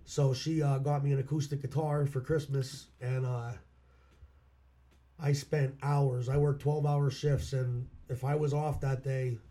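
A hoarse man from Pennsylvania, a local musician, telling stories about his past in a plain-spoken way. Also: medium pitch, level tone, unhurried, warm tone. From Schuylkill County, Pennsylvania. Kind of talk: casual interview chat.

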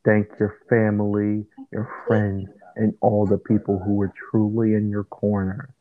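A man co-hosting a casual chat podcast, talking loud in a flat monotone. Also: lowish voice, unhurried. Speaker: podcast co-host. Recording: tinny phone-like line.

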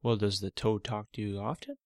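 A man's voice: lowish voice, fairly quick, no room sound, studio quality, close to an everyday voice. A man voicing a patient father, soothing and reassuring in tone. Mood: coaxing.

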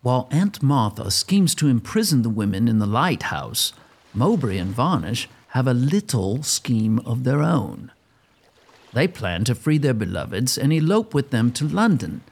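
An actor playing a wry storyteller, narrating a gothic comedy audio drama. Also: male voice, mid-range voice, big pitch swings, measured pace, husky, exaggerated voice.

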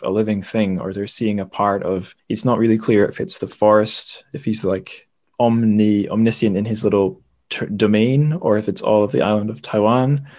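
Male podcast host, lower register, informal literary chat, halting delivery as he thinks aloud. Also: a little mellow. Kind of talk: casual book discussion.

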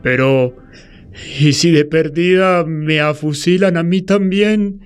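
Scared male peasant, high voice, dragging and hesitant, radio play scored with music. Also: slow pace, clear, resonant tone. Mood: terrified, reluctant. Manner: hesitant, fearful, repeats words. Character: terrified, reluctant adult son of condemned peasant. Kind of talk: audio drama acting.

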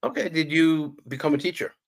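A man's voice: on the high side, expressive, fairly quick. A man, a podcast host who teaches history, asking his guest short, plain interview questions.

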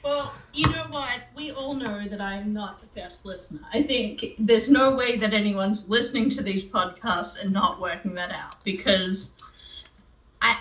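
A woman's voice: mid-range voice, measured pace, fairly bright tone, roomy sound, muffled recording. A woman co-hosting a podcast from home, chatting in a laid-back, blunt, self-deprecating way. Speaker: podcast co-host. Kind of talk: casual chat.

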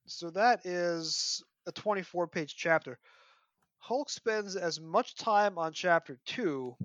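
A man's voice: high voice, slow, a bit bright, no room sound, muffled recording. A man hosting a podcast, discussing books in an analytical, enthusiastic, conversational way. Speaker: podcast host.

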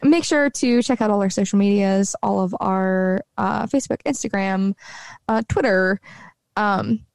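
A woman with a low speaking voice, co-hosting a podcast in relaxed, chatty conversation on her own microphone at home. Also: unhurried, vocal fry, clear, resonant tone, no room sound, studio quality. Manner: relaxed, chatty, laughs easily. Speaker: podcast co-host. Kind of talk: casual chat. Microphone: own microphone with bass roll-off.

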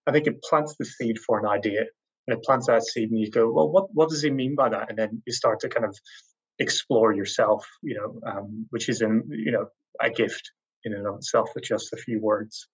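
A man's voice: fairly low pitch, moderate pace, husky, dull audio. An Irish man thinking aloud in a casual discussion, hesitant and full of fillers. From Ireland. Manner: thoughtful, hesitant with many fillers. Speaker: guest.

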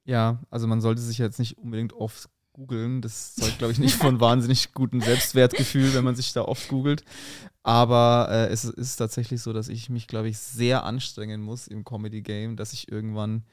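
A man from Munich with a Munich accent and a rolled R, a podcast guest who talks in a relaxed, thoughtful way, hedging a lot. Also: mid-range voice, moderate pace, few pauses, no echo, clean studio sound. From Munich, Germany. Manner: relaxed, thoughtful, many hedges. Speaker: podcast guest, stand-up comedian. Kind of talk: casual podcast chat.

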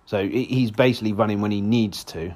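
A man with a hoarse voice, hosting a solo football podcast in a rambling, casual way with lots of restarts. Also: fairly low pitch, a little fast, a little mellow. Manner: rambling, frequent restarts and fillers.

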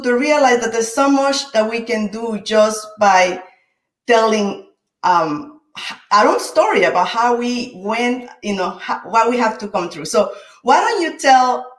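A woman's voice: a little high, reverberant, poor audio. A woman hosting an interview show, speaking in an earnest, rallying way as she introduces her guest and asks questions. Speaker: show host.

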